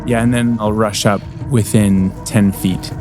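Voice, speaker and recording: A man's voice: lowish voice, fairly even intonation, measured pace, music in the background. A man playing a tabletop game, casually describing what his fantasy character does. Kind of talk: casual play with character narration.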